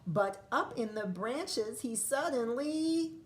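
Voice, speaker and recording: A woman's voice: fairly high pitch, animated, slow pace. A woman first-grade teacher reading a picture book aloud to young children, expressively drawing out lines and adding chatty asides.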